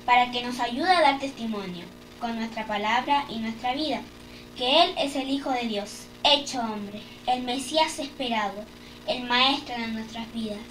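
A young woman with a fairly high voice recites prayer intentions formally. She sounds slightly away from the microphone in an echoey room, with faint noise behind her. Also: moderate pace, a bit bright, distant mic. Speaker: lay reader. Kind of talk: reading prayer intentions aloud. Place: indoor room, seated at a table. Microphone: camera a short distance away.

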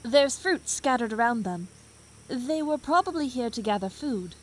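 A woman's voice: a little high, lively intonation, moderate pace, rough recording. A woman playing a shrewd, level-headed young seafarer in a fantasy audio drama, matter-of-fact in delivery. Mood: calm, shrewd.

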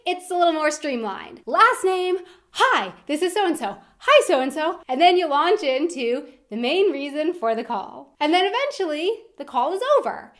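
A young American woman presenting a vlog to camera in an expressive, emphatic manner. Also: high-pitched, moderate pace. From United States. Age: young adult. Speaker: video host. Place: indoor room with decorated wall backdrop.

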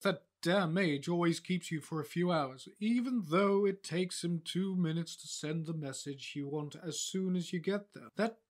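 Man acting a grumbling, higher-voiced adventurer. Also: normal speed, few pauses, natural voice. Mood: impatient. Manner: grumbling, blunt. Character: grumbling, practical male adventurer and farmer. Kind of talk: fantasy audio drama acting.

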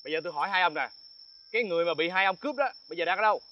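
A man's voice: high voice, expressive, very fast, highs cut off. A man playing a stern unseen questioner.

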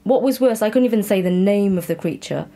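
A woman's voice: mid-range voice, brisk, warm tone. A woman interviewer talking conversationally, candid and reflective as she asks questions and shares her own thoughts.

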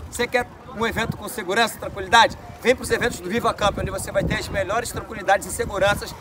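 High-voiced man giving a sales pitch. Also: steady tone, quick pace, far from the mic, noisy background. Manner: salesy, pitching safety and quality. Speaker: exhibitor demonstrating electrical connectors. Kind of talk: casual sales demonstration. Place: outdoor campground event.

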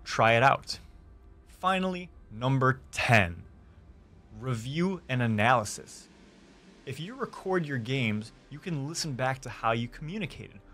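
A man's voice: mid-range voice, animated, pushed and bright. Emphatic young man over background music. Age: young adult. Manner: deliberate, emphatic, listing points. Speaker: gaming YouTuber and streamer. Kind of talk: scripted instructional guide. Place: small home streaming room, indoors. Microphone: close studio mic on desk.

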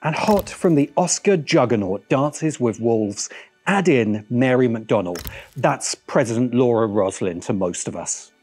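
Casting: middle-aged male essayist, wry and emphatic, animated pitch, music underneath. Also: a little slow, husky. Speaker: video essay host. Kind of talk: film commentary video essay. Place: open-air veranda.

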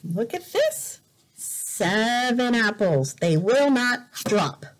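Very slow, animated woman reading to children. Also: a little high. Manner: deliberate, exaggerated, repeating words and counting for learners. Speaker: volunteer English teacher reading aloud. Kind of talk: slow read-aloud picture-book lesson for children. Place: indoors in a room by a bright window.

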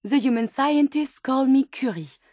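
A woman's voice: high voice, normal speed, darkish tone, no echo, clean studio sound. A woman with a French accent voicing an earnest, polite female robot scientist in a video game, with formal, precise wording. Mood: earnest, curious. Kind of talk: video game character dialogue.

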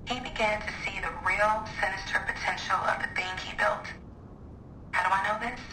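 An actress plays a jaded woman engineer recording a confiding audio log for a video game. Her voice is bright and fairly high, with a wry, sardonic edge. The sound is roomy and slightly distant, with faint noise. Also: lightly acted.

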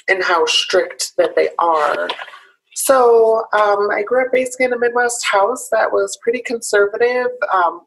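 A young woman from South Carolina, raised in Illinois, speaking as an interview guest over a video call. She talks earnestly and openly, often pausing with ums. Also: mid-range voice, creaky, husky, rough recording. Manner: earnest and open, with frequent ums. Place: small home room.

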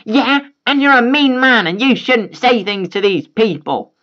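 A storyteller voicing a shrill, scornful entitled mother who mocks a child, on a slightly dull recording. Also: lively intonation, unhurried, fairly bright tone. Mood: sneering.

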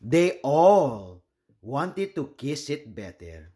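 A man from the Philippines reading a children's picture book aloud in an expressive, deliberate storytelling manner. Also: medium pitch.

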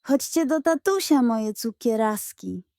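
A woman's voice: on the high side, expressive, unhurried, mellow, no echo, studio quality. A woman voicing a greedy, sly male pug dog in a bedtime story, with a wheedling, sulky way of talking.

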